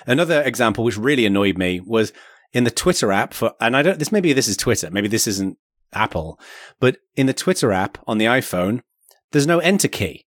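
A man's voice: mid-range voice, expressive, fairly quick, dry recording, clean studio sound. A man co-hosting a casual tech podcast, ranting about small annoyances with frequent stumbles and restarts. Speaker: podcast co-host.

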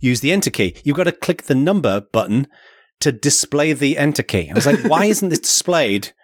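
A man's voice: fairly high pitch, sing-song, moderate pace, no room sound, clean studio sound. A man co-hosting a casual tech podcast, ranting about small annoyances with frequent stumbles and restarts. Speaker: podcast co-host.

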